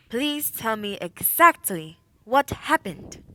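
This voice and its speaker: A woman's voice: fairly high pitch, very animated, takes it slowly, bright, forceful tone, exaggerated voice. A woman playing a commanding female prefect in a radio play, questioning formally and with authority. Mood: formal, probing. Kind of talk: stage-style radio play acting.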